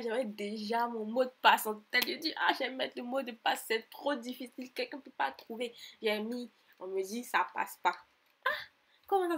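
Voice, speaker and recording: A woman's voice: fairly high pitch, a bit bright. A young woman from Côte d'Ivoire with an Ivorian French accent, talking through an online form in a chatty, repetitive voice-over tutorial. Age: 20s. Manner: chatty, repeats herself, grows exasperated and emphatic. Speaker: tutorial vlogger. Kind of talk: screen-recorded voice-over tutorial.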